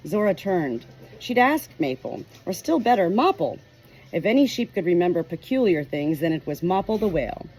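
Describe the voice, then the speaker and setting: A woman reading a novel chapter aloud in an expressive storytelling manner, giving short quoted lines a light change of voice. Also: fairly low pitch, normal speed, husky, low-quality recording. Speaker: audiobook reader.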